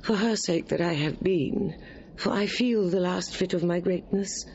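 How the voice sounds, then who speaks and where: A woman's voice: on the low side, unhurried, a little mellow, highs cut off, slight background noise. A woman playing a proud, wary, wronged queen in a Shakespearean audio drama, speaking formally and emphatically. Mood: pleading. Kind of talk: Shakespearean radio drama acting.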